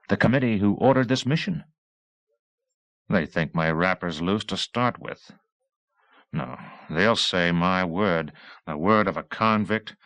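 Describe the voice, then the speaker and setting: Low male voice, performed by an audiobook reader, hardened brooding veteran, clipped deliberate phrasing, dry sound. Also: fairly bright tone, dry recording, exaggerated voice.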